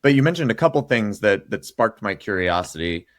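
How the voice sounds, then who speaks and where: A man's voice: on the low side, very animated, a little fast, dry recording, clean studio sound. A man hosting a podcast interview, asking questions in a casual, enthusiastic, conversational way. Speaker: podcast host.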